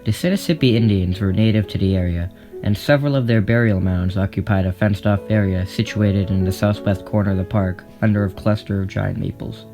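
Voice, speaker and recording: A man's voice: fairly low pitch, a little fast, mellow. A man reading scripted story narration in an audio drama. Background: plucked guitar music.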